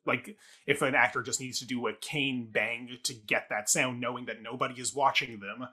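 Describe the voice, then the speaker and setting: Bright-voiced man in casual debate. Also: medium pitch, fairly even intonation, moderate pace, breathy edge. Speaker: theatre review show co-host.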